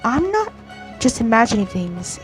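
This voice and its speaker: A woman reading video game dialogue aloud in a halting way. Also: a little high, big pitch swings. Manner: halting read-aloud delivery. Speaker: game streamer. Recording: faint game music behind.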